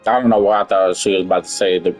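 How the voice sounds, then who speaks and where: A man's voice: deep voice, fairly even intonation, very fast, faint music behind. A man giving casual gameplay commentary in a blunt, slangy, swearing manner. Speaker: gamer reacting to a story quest.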